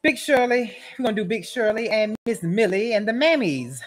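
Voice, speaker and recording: A woman's voice: fairly high pitch, lively intonation, normal speed. A woman giving casual, profane roast commentary as a show host, brash and mocking, rambling and repeating her phrases.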